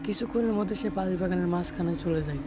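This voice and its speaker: A man's voice: high voice, fast talker, noisy background. A man narrating a cartoon folk tale as a scripted storyteller. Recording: muffled audio under music.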